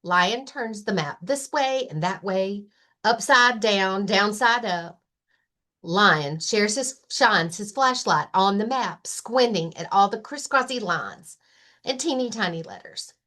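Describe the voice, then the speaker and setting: Female storyteller, librarian reading aloud to children, very lively intonation, unhurried and emphatic. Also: medium pitch. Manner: deliberate, emphatic phrasing with playful sound words. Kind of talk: expressive children's story narration.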